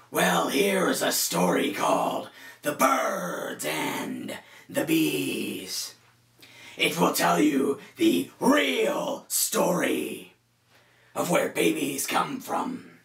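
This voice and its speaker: A man playing an earnest low-budget parody Batman, telling a children's story in a breathy, growling voice with dramatic, halting delivery. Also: wildly swooping pitch, fairly bright tone, full character voice. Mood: mock solemn. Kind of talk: comedic story reading in character. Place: small bedroom, indoors. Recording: roomy echo, music underneath.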